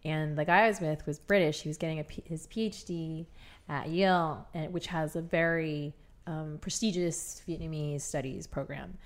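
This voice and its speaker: A woman hosting a conversational interview show, telling stories in a chatty, animated way. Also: low-pitched, moderate pace. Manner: chatty, animated storytelling with laughs. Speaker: radio show host.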